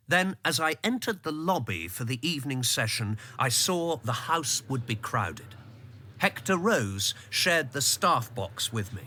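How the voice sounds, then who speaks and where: An actor playing an observant, sober political insider, narrating a radio drama in a measured, literary manner. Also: male voice, mid-range voice, pushed and bright, no room sound, exaggerated voice.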